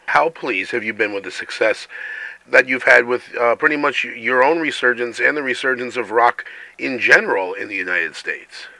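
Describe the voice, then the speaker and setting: A male radio interviewer with a gravelly, piercingly bright voice and a fairly low pitch. He asks measured, formal questions, recorded close and dry. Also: dry recording, low-quality recording.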